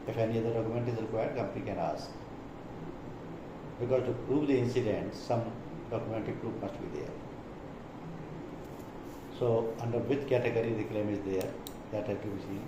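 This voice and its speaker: A man with an Indian accent explaining an insurance product as a trainer, in a didactic way, reading out points and then explaining them. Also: fairly low pitch. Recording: echoey room with clear background noise.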